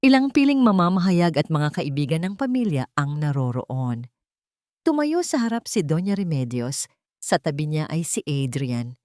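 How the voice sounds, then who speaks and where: A woman with a low-pitched voice tells a scripted, dramatic story. Her delivery is earnest and sentimental, with formal phrasing. The recording is dry, with no room sound. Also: very animated, moderate pace, a little mellow, dry recording. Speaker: storyteller and channel host.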